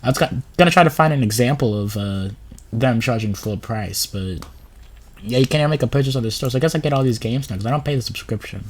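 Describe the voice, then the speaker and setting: A man's voice: medium pitch, fast talker. A man with a sore throat and a runny nose giving casual gaming commentary over a screen recording, reading a blog post aloud and rambling with fillers and restarts.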